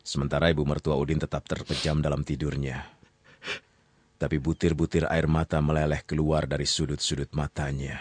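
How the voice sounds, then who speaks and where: A man narrating a radio story in a calm, measured way, with casual asides to the listener. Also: very deep voice, no echo.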